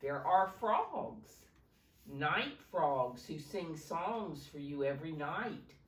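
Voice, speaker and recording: Slow, low-pitched woman reading to preschoolers. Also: big pitch swings. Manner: simple, instructive, often questioning the listeners. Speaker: preschool teacher reading aloud. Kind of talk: storytime reading and simple explaining for preschoolers. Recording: roomy, distant mic, faint noise.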